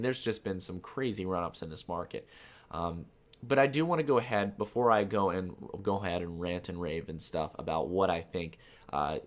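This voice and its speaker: A man's voice: deep voice, a bit bright. A young man with a stuffed-up nose, hosting casual market commentary in a chatty way full of repeated filler phrases. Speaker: crypto market channel host.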